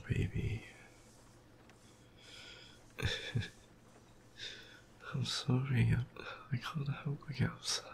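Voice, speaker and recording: A tired young man with a croaky voice, softly whispering an affectionate boyfriend roleplay close to the mic. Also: lowish voice. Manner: soft, affectionate whispering with quiet laughs. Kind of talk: ASMR roleplay.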